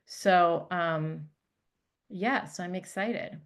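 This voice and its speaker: A woman's voice: fairly low pitch, drawn-out words. A woman presenting a school update on a video call, chatty and upbeat, with many fillers and restarts. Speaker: religious school director.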